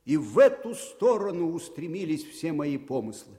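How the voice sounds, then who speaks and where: A man's voice: a little high, sing-song, normal speed, heavy background noise. An actor playing a proud, earnest Russian military commander recalling his life in a radio play monologue. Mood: proud, reflective. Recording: long hall reverb.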